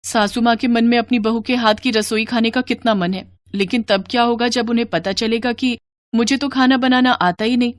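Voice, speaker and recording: A woman's voice: on the high side, fairly even intonation, normal speed, no room sound, pitched above the performer's own voice, lightly acted. A woman voicing a timid, humble young bride in a moral story, earnest and fretful in manner. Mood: anxious.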